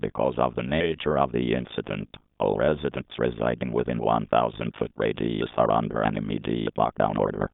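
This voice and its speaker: A man's synthetic-sounding gravelly voice reading a scripted emergency alert in a stilted, mechanical way. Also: a bass voice, fairly even intonation, brisk, few pauses, no echo. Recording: narrow phone-like alert system audio.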